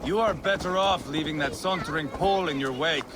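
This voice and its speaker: A man's voice: a little high, brisk, background noise, lightly acted. A man playing a crude, mocking, bloodthirsty Viking warrior in a video game, with a taunting, theatrical delivery. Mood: gloating. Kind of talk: video game character acting.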